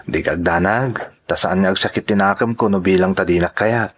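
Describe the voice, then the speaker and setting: A man's voice: deep voice, normal speed, fairly bright tone. Ramon, earnest Filipino suitor played by a man. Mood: earnest, coaxing. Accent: Filipino, Ilocano. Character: Ramon, earnest male suitor in a romance drama. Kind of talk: audio drama acting.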